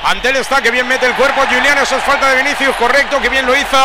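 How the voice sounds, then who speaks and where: A man with a Castilian Spanish accent giving excitable, urgent live football commentary on radio. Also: extremely high pitch, fast talker, over a noisy crowd. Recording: noisy outdoor live broadcast.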